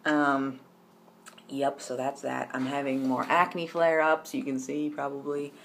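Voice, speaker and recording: A man's voice: medium pitch, little pitch movement, unhurried, vocal fry, fairly bright tone, a little hiss or hum. A young man chatting casually to camera in a personal vlog, rambling with lots of ums and restarts. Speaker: vlogger.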